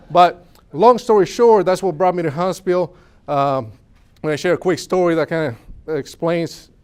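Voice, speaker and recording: Young Puerto Rican man, a speaker at a business event with a slightly higher pitch, earnest and storytelling. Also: smooth. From Puerto Rico. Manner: earnest storytelling, asks the audience questions. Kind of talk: motivational talk to a live audience.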